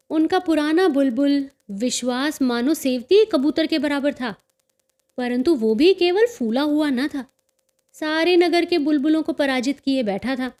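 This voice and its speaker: A man's voice: extremely high pitch, darkish tone. A woman voicing a cheerful, boastful teenage boy who tells of his bird fights in a storybook reading.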